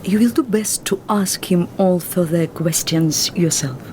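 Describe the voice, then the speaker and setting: An actress voices a reserved young Russian woman with a Russian accent who speaks in careful, formal phrases. Her voice is low and mellow. Faint rumbling noise sits under the film soundtrack. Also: measured pace, lightly acted. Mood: earnest. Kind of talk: film drama dialogue.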